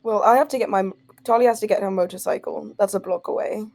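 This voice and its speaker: A woman's voice: medium pitch, fairly even intonation, fast talker, darkish tone. A woman playing a tabletop role-playing game, casually talking through what her character does. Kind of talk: casual chat.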